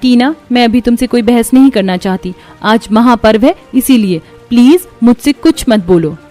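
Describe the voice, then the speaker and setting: A woman's voice: fairly high pitch, expressive, moderate pace, mellow, soft background music, natural voice. A woman playing a proud, poor young daughter-in-law in a cartoon story, indignant and firm as she stands her ground. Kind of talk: Hindi cartoon moral story dialogue.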